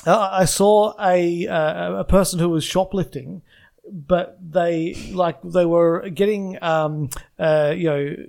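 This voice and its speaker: Australian male podcast co-host, gravelly, rambles with lots of ums. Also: high-pitched, a little mellow. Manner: rambling, many fillers and restarts. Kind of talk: casual chat.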